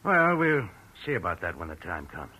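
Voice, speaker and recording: A sly tough guy with a husky, fairly low male voice. His pitch swings freely and he needles in a guarded, old radio drama manner. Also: sing-song, normal speed, pushed and bright, full character voice, poor audio. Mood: sly, amused. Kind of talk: 1940s radio drama acting.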